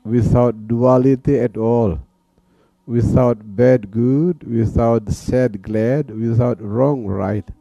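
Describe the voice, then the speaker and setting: A man with a very dark, mellow voice leads a guided meditation. He speaks slowly and calmly, pausing often. The sound is a little dull and lo-fi. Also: mid-range voice, gravelly, no room sound. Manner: calm, with long pauses. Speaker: meditation teacher. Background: soft music.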